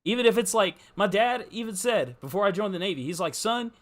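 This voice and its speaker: A man's voice: high voice, animated, a little fast. A man co-hosting a casual live football talk show, speaking in an earnest, emphatic and informal way. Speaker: podcast co-host.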